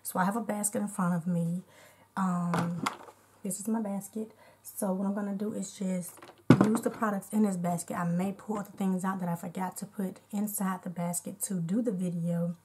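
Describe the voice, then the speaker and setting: Slightly hoarse, congested woman chatting casually. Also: mid-range voice, fairly even intonation, normal speed, background noise. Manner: narrates each step as she goes. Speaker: beauty vlogger. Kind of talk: casual unedited makeup tutorial chat.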